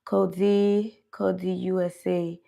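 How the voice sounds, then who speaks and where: Slow, gravelly-voiced young woman. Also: lowish voice, level tone, dark tone. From Canada. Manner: chatty, greets viewers by name. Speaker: livestream host. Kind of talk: casual livestream chat. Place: home in a cold region.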